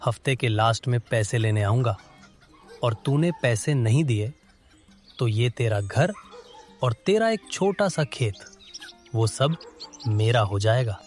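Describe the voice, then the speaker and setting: A man plays a greedy cartoon landlord from the village. He is brusque and demanding, pushing people around with threats in a mid-pitched voice, with light music underneath. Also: expressive, a little slow, pauses often, a little mellow, lightly acted. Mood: threatening. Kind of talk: Hindi animated moral story dubbing.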